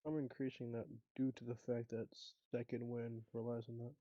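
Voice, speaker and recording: A man chats casually as a player at a tabletop game, cursing freely. His pitch is middling. The sound is dry and a little lo-fi. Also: little pitch movement, moderate pace, no room sound. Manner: frequent casual swearing. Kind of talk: casual chat.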